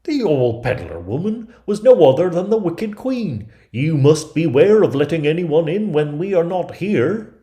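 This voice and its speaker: A man's voice: fairly high pitch, very animated, measured pace, hardly any pauses, warm tone, echoey room, voice pushed up. A man voicing kindly, protective little dwarfs in a storybook, speaking emphatically and sternly. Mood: urgent warning. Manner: emphatic warning delivery.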